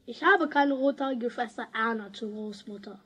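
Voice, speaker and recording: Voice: mid-range voice, normal speed, sharp tone, breathy edge. A performer playing a stubborn, hurt schoolboy in a children's radio play, insistent and blunt.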